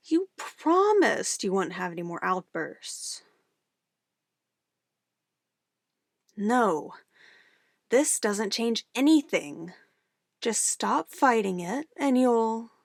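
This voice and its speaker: A woman's voice: on the high side, sing-song, very slow, pauses often, no room sound, studio quality, heavily acted. A woman playing an obsessive, possessive witch in an ASMR roleplay, sweetly menacing and cooing in an intimate, theatrical way. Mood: angry, commanding. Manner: intimate, cooing, theatrical, shifting between soft whispers and firm commands.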